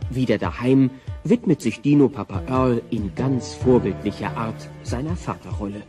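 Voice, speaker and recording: An actor narrating a comic dinosaur tale in scripted German audio drama storytelling style. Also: male voice, medium pitch, normal speed, music in the background, close to an everyday voice.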